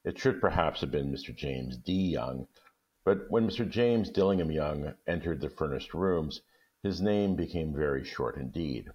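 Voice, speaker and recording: A man's voice: rumbling low, expressive, moderate pace, a little mellow. A man speaking as a guest reader, in casual chat and storybook reading, on a dull recording. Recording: dull, muffled lo-fi audio.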